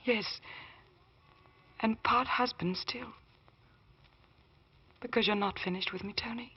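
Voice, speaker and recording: An actress playing a lawyer's anguished, loyal wife with earnest, deliberate emotion in a 1940s radio drama. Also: female voice, on the high side, fairly even intonation, slightly rough, heavily acted. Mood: pleading, intense. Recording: dull vintage radio recording.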